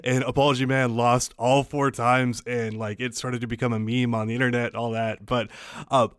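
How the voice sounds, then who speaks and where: A man hosts a podcast with loose, rambling enthusiasm, his mid-pitched voice landing dry and close. He leans on fillers and quick restarts as he chats. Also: flat delivery, clean tone, dry recording. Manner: rambling, filler-heavy, upbeat. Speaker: podcast host. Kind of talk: casual podcast chat.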